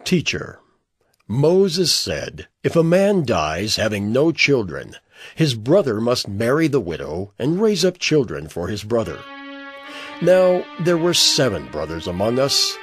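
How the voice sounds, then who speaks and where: A man's voice: medium pitch, huge pitch swings, a little slow, slightly rough, no room sound, clean studio sound, faint music behind, exaggerated voice. Wildly inflected, theatrical male Sadducee. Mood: challenging. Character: male Sadducee posing a clever challenge. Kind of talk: dramatized scripture audio drama.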